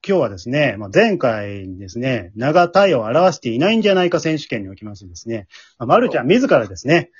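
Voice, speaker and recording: A man with a Kansai accent hosting a casual chatty show among friends, teasing and quick to laugh, recorded remotely from home. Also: medium pitch, very animated, husky, highs cut off. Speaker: leader of an amateur drama group, hosting.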